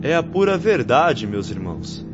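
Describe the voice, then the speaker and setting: An actor in a radio drama playing an earnest young spirit missionary who teaches patiently in a formal, solemn way. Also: male voice, mid-range voice, big pitch swings, normal speed, noisy background, lightly acted. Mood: solemn, instructive. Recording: muffled lo-fi radio drama recording, faint music.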